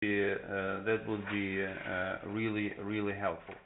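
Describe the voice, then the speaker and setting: A man with a Ukrainian accent, speaking haltingly in an informal diplomatic phone conversation, often repeating and rephrasing. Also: lowish voice, monotone, slight background noise. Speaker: government minister. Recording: tinny narrowband phone line.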